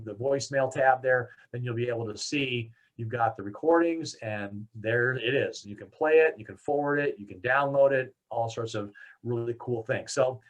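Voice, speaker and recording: An American man presenting a software product demo in a conversational, explanatory way. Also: medium pitch, rough recording.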